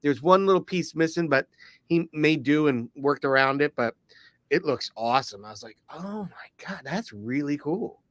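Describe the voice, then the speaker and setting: A man's voice: a little high, expressive, no echo. Rambling middle-aged man from Philly. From Philadelphia. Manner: rambling storyteller, quick asides. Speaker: podcast co-host. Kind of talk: casual podcast chat.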